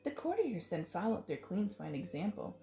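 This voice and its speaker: A woman's voice: mid-range voice, very animated, moderate pace, mellow. A woman reading a rhyming picture book aloud to children with dramatic, sing-song phrasing, in a roomy recording. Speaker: children's story reader. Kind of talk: expressive picture-book read-aloud in rhyme. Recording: roomy echo, faint music.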